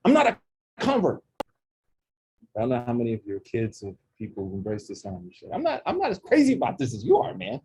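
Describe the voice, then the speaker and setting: A chatty man from Oklahoma with a slight Oklahoma accent, leading a relaxed session with students. His voice is mellow and a little husky, and he asks the room questions and makes jokes. Mid pitch and an easy, loose delivery. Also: poor audio. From Oklahoma, USA. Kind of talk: interactive talk with students. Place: campus center room with a student group.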